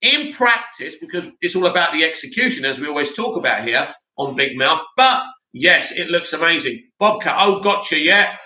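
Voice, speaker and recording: A man hosting a live stream, reading out viewer comments and answering them in a loud, opinionated way with lots of restarts. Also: fairly high pitch, animated, normal speed, hardly any pauses. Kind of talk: casual live chat. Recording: echoey room.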